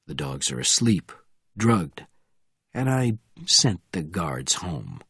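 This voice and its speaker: A man voicing a calm, menacing male action hero, quiet, terse and controlled, in an audiobook thriller. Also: sits low, slow pace, breathy edge, no echo, clean studio sound, pitched below the performer's own voice, exaggerated voice.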